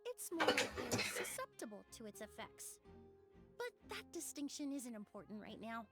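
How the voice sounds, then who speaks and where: A woman's voice: fairly high pitch, sing-song, sharp tone, faint music behind, full character voice. A composed, precise female investigator. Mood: calm, explanatory. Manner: measured, precise, explanatory. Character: composed, analytical woman, a forensic perfumer sleuth. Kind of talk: scripted video game voice acting.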